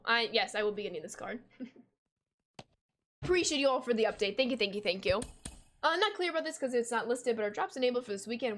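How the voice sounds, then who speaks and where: A woman in her twenties streaming a video game from home, chatting casually with viewers, reading chat aloud and gasping and laughing in bursts of excitement. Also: a little high. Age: 20s. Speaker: gaming streamer. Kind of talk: casual live-stream chat while playing. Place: home room at a desk.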